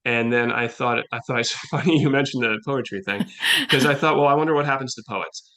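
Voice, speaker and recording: A middle-aged man talking casually as a playwright guest in an interview, telling anecdotes in an animated way and acting out quotes. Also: mid-range voice, brisk.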